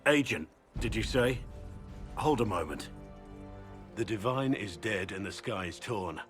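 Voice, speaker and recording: A seasoned warrior and recruiter from a fantasy game. His voice sits on the low side, blunt and earnest, with plain-spoken gruffness. Music plays lightly behind him. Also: expressive, a little slow. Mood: earnest, resolute. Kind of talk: dramatic fantasy game dialogue. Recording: background music under the voice.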